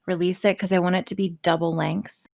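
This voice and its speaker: A woman's voice: lowish voice, flat delivery, brisk, vocal fry, no echo, studio quality. A woman chatting casually as a podcast guest about her own work, blunt and freely swearing. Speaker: podcast guest, audio writer and voice performer. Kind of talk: casual interview chat.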